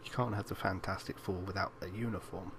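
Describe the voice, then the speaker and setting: A man with a fairly low voice chats casually as co-host of a film podcast. He teases and questions with a mock-serious manner. A slight noise sits behind his voice. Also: fairly even intonation, fairly quick, fairly bright tone. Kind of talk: casual chat about films.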